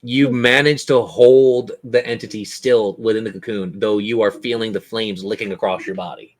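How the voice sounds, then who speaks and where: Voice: mid-range voice, fairly even intonation, normal speed. A game master running a tabletop roleplaying game, narrating scenes in a theatrical, suspenseful way.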